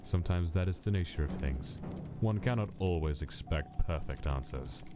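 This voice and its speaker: An actor playing a cold, clinical skeleton scientist with a measured, formal, menacing delivery in a dramatic comic dub. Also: male voice, low voice, animated. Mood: clinical musing. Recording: background music under the voice.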